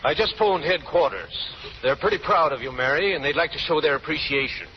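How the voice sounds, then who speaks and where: A muffled vintage radio recording features an actor as an American government lawman. He is brisk and kindly, with a fairly high male voice in the manner of 1930s radio drama. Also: expressive, slight background noise, natural voice. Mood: kindly, businesslike.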